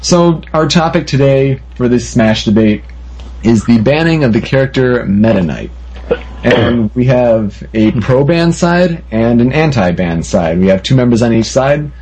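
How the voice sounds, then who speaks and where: A man's voice: mid-range voice, animated, a little slow, darkish tone, husky, poor audio. A man hosting a gaming podcast, talking casually and often saying "you know". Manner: filler-heavy, frequent "you know". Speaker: podcast host.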